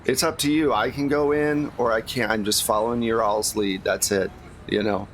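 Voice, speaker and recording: A man chatting casually as a tabletop game player, halting and repeating words as he goes. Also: on the low side, steady tone, slight background noise.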